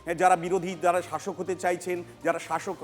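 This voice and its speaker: A man's voice: sits high, level tone, a little fast. A man giving methodical panel analysis as an academic, often rephrasing his points. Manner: methodical, explanatory, repeats and rephrases. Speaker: professor and political analyst. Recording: reverberant sound with music bed.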